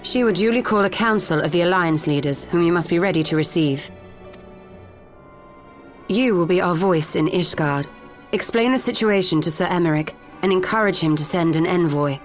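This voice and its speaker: A composed sorceress voiced by a woman speaks in a fairly low register. She is cool, formal and decisive in a fantasy game, with faint music behind. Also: moderate pace, natural voice. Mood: decisive, commanding. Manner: formal, crisp orders.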